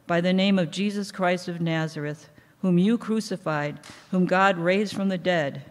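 Woman reading scripture in a reverberant church. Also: on the low side. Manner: measured, steady reading cadence. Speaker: lay scripture reader. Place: church sanctuary, indoors. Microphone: microphone on a stand at a lectern. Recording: long church hall reverb.

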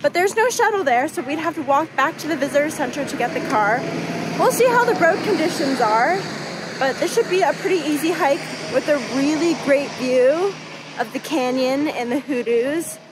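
A young woman speaks into a phone held at arm's length outdoors. Her voice sits high and she explains things calmly, like a travel vlogger. Steady background noise runs under her words. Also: normal speed. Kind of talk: casual travel vlog narration. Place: outdoors on a snowy canyon rim. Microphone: phone camera at arm's length.

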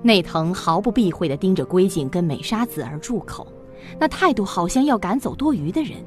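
A woman narrating a suspense audio drama in an expressive storytelling manner. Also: medium pitch, lightly acted.

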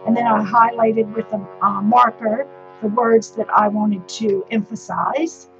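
A woman casually explaining a handmade photo album step by step, a little hoarse, with filler words as she talks. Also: on the high side, steady tone, unhurried, highs cut off, over music. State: slightly hoarse. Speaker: crafting teacher. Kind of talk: casual show-and-tell demonstration. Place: indoors at a craft table. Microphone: camera overhead on tabletop.

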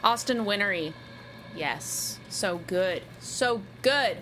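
A woman's voice: medium pitch, expressive, slow pace, sharp tone, slight background noise, poor audio. A woman in her twenties chatting casually with her chat while playing a game at home. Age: 20s. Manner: chatty, self-deprecating, lots of fillers. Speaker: streamer. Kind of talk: live game stream chat.

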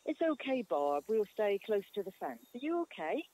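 A woman's voice: medium pitch, big pitch swings, normal speed, mellow, low-quality recording. An actor, a woman playing a busy, kindly, apologetic village mother in a radio play. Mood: cheerful, hurried.

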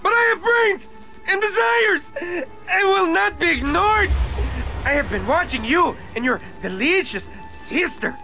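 An actor voicing a manic, whiny little cyborg henchman in a cartoon game, shrill, scratchy, melodramatic and childish. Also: lively intonation, measured pace, gravelly, bright, forceful tone, faint music behind, exaggerated voice. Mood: gloating. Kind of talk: cartoon video game acting.

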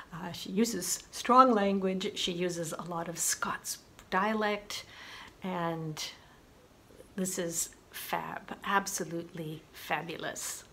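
A woman hosting a book channel, talking through her recent reads in a relaxed, musing way with frequent pauses. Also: fairly low pitch, a little hiss or hum. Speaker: booktube host. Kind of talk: casual book review.

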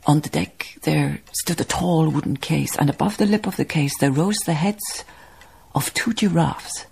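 An actress playing a grieving, dignified woman memoirist in a radio drama, speaking reflectively in a breathy, husky voice. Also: low-pitched, unhurried, darkish tone, a little hiss or hum. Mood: wistful, sorrowful.

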